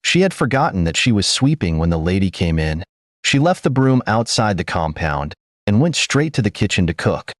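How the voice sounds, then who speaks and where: A man's voice: fairly low pitch, sing-song, normal speed, no echo, studio quality. A man narrating a folk tale from a script, telling it expressively. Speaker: storyteller.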